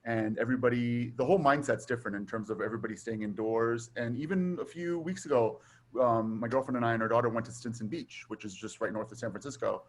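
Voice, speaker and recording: A middle-aged man in relaxed conversation, his voice sitting in a comfortable middle range. He talks loosely, circling back with fillers as he shares his thoughts. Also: mid-range voice, fast talker, a little hiss or hum. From Taiwan, raised in Southern California. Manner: relaxed, chatty, frequent "um" and "you know". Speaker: guest. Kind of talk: casual conversation.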